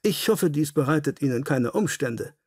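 A self-assured Victorian detective , read by a male audiobook narrator, courteous and keen. He speaks formally and briskly in a slightly high, husky voice, recorded dry. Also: animated, darkish tone, dry recording. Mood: decisive.

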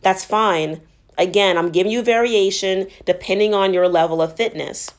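A woman gives an instructional voice-over for a home workout, speaking at a medium pitch. She sounds like a fitness coach, explaining form and options in an encouraging manner. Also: moderate pace.

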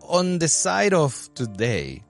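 A man's voice: on the high side, wildly swooping pitch, takes it slowly, creaky, soft background music. A man hosting a podcast in a casual, conversational way. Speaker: podcast host.

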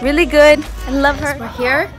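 A young woman chats casually to her vlog camera in a fairly high voice. She is excitable and gushing, talking at arm's length from the mic with clear music in the background. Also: normal speed. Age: young adult. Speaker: vlogger. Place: car, street and rooms, on the move. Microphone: phone or camera at arm's length.

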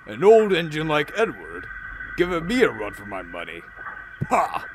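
A man's voice: mid-range voice, big pitch swings, measured pace, background noise. A man voicing a proud, boastful big male steam engine in a storybook tale, haughty and scoffing.

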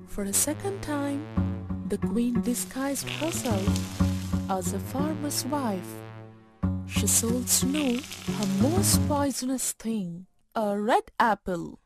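Slow, high-voiced woman storyteller, loud music behind. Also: animated. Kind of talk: scripted children's fairytale narration. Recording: loud music and effects over voice.

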